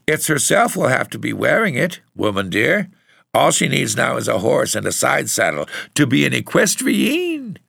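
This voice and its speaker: A man's voice: low voice, lively intonation, moderate pace, gravelly, fairly bright tone, breathy edge, no echo, clean studio sound, heavily acted. A man voicing a kindly, frank neighbor woman in an audiobook story. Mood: amused. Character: amused, approving Irish neighbor woman.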